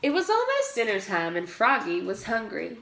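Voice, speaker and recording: A woman's voice: on the high side, huge pitch swings, moderate pace, gravelly, a bit bright, roomy sound. A woman reading a children's storybook aloud like a preschool teacher, acting out the sound-effect words with emphasis.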